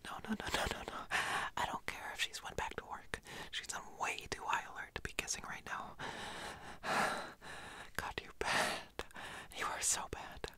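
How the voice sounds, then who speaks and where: A woman playing a nervous, pouty student in an intimate roleplay audio, stammering and scolding in a breathy, hushed voice. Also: normal speed, in a whisper, close to an everyday voice. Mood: panicked, scolding.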